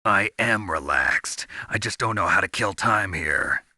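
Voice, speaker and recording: Casting: battle-hardened video game soldier. Very low, gravelly, blunt and cynical. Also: male voice, animated, measured pace, bright, forceful tone, no echo, studio quality, full character voice. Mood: low, serious. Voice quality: gravelly, raspy. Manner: terse, gruff, blunt. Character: gruff, hardened male soldier, cynical and terse.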